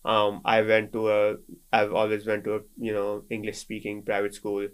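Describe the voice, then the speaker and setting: A man's voice: lowish voice, completely flat delivery, normal speed, clear, resonant tone. A young man in his twenties from Pakistan, a native Sindhi speaker, chatting casually as a guest with lots of fillers and restarts. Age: 20s. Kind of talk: casual conversation.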